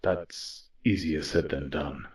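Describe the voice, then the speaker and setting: An actor playing a weary, solemn robot war leader in an audio drama, speaking in a grave, measured way. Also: male voice, very deep voice, steady tone, faint music behind. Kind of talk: audio drama dialogue and first-person narration.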